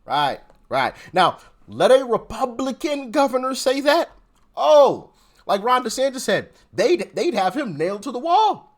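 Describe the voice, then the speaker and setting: A man with a high, very expressive voice gives casual political commentary. He is emphatic and sarcastic, hammering points by repeating them. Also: unhurried. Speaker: commentary show host.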